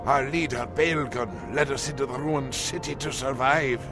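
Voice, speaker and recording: An actor playing a bitter, defiant dwarf survivor in a video game, forceful and accusing. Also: male voice, mid-range voice, moderate pace, natural voice. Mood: bitter defiance. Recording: voice under loud game music.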